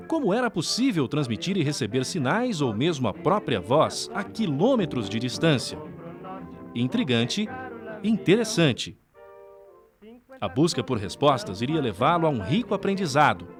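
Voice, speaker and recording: A man's voice: fairly high pitch, very animated, moderate pace, over music. A Brazilian man reading scripted documentary narration.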